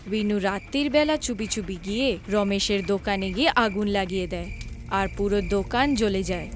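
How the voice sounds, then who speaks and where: A woman's voice: mid-range voice, moderate pace, a little hiss or hum. A woman giving scripted storybook narration for a cartoon.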